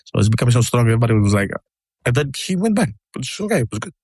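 A man from Greece with a Greek accent, co-hosting a casual sports podcast, talking in an animated, emphatic way and repeating himself for effect. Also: mid-range voice, rapid-fire, husky, no room sound, clean studio sound. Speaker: podcast co-host. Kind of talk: casual chat.

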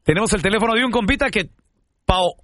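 A man's voice: sits high, quick pace, a bit bright. A man with a Mexican accent making a prank phone call, teasing and persuading in a mock-earnest way. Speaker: radio show prank caller.

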